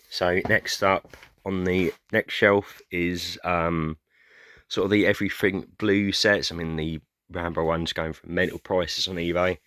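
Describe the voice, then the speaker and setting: A British man giving a rambling, casual tour of his film shelves, hesitant with many fillers, clearing his throat often as his voice goes hoarse. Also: sits low, fairly even intonation, a little slow, fairly bright tone, dry recording. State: going hoarse, losing his voice. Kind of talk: casual room tour vlog.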